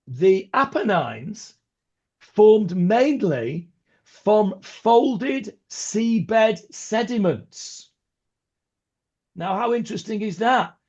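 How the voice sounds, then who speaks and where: A man's voice: high-pitched, husky. A man leading an informal online Talmud class over a video call, hesitant, with many ums and restarts and chatty asides. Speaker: rabbi teaching a Talmud class. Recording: dull lo-fi video call audio.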